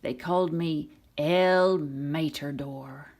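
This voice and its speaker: A woman's voice: low-pitched, steady tone, takes it slowly, a little mellow, heavily acted. A woman voicing a proud, folksy cartoon tow truck who spins tall tales in a children's storybook. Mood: boastful.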